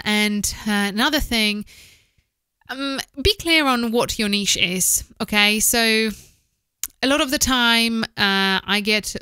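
A woman host gives casual, practical advice in a bright, forward voice. Her sound is exceptionally clean and resonant. She pauses to think and repeats words for emphasis, close on the mic in a dry small office. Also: a little high, smooth, no room sound. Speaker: host, voice-over producer. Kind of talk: casual instructional podcast talk to camera. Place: small home office, indoors. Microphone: close studio microphone with foam windscreen, headphones.

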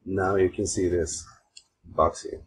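Very deep-voiced Swedish man, halting. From Sweden. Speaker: tutorial host. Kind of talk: informal software tutorial. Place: voice-over recorded at an old computer. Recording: steady clear background noise, mouse clicks.

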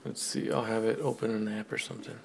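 A man casually demoing an app on camera, joking and talking loudly to the device at his desk. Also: lowish voice, flat delivery, fast talker, vocal fry, slight background noise. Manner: offhand, joking, says commands loud to the device. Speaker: tech video host. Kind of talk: casual product demo. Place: small room at a desk.

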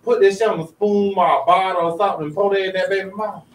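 A man's voice: sits high, steady tone, fast, far from the mic. A man from Florida co-hosting a talk show, chatting bluntly in casual slang with lots of swearing. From Jacksonville, Florida, USA. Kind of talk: casual profane chat. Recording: roomy, off-mic, noisy background.

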